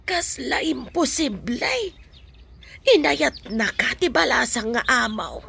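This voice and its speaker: Doubtful filipina mom, creaky and really slow, radio drama. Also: female voice, high voice, expressive, bright, forceful tone, full character voice. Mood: worried. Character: doubtful, practical mother of a grown son. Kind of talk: Ilocano radio drama acting.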